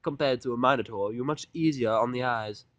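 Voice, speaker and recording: A man voicing a refined, theatrical female pony fashionista in an audiodrama, fussy and flattering, with affected, drawn-out genteel phrasing. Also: mid-range voice, no room sound, lo-fi sound, natural voice. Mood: matter-of-fact, lecturing. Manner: affected, drawn-out, genteel delivery with terms of endearment. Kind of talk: audiodrama fan fiction character voice.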